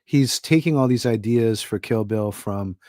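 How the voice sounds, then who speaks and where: A man's voice: lowish voice, a little slow, a little mellow, dry recording, clean studio sound. A middle-aged man from Hawaii, an author guesting on a podcast, talking in a thoughtful, rambling way with many fillers. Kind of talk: casual podcast conversation.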